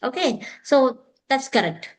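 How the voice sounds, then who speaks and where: High-voiced woman teacher. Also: lively intonation, measured pace. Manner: encouraging, repeats "okay" often. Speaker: English teacher and host. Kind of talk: casual conversation practice.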